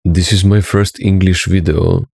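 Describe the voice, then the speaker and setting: A man's voice: low voice, level tone, unhurried, creaky, mellow, husky, no echo, studio quality. A man from Slovenia with a Slovenian accent, speaking English as a second language in an earnest, preachy monologue as a spiritual teacher, often checking in with "right?".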